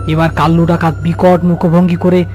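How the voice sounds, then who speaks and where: A man's voice: fairly high pitch, monotone, moderate pace, music in the background. A man narrating a scripted horror story as its storyteller.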